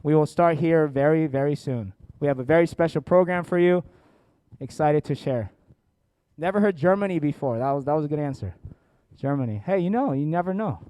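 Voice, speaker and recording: A man's voice: on the high side, animated, a little fast, mellow, clear, resonant tone, no echo. A man with an American accent presenting informally to an audience as a seminar host, jokey and engaging. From born in South Korea, grew up in Atlanta, United States. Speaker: seminar host, company founder and educator. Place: indoor seminar room with families and online viewers.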